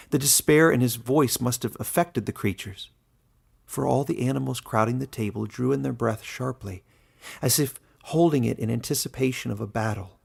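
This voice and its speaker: Male storyteller, heavy vocal fry, husky, expressive scripted fantasy narration, dramatic pauses. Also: mid-range voice.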